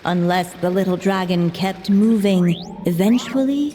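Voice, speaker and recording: A woman narrating a fairy-tale story in a gentle, hushed storybook manner. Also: lowish voice, unhurried, a little hiss or hum, natural voice. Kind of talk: storybook narration in a game cutscene. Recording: long reverb with soft music.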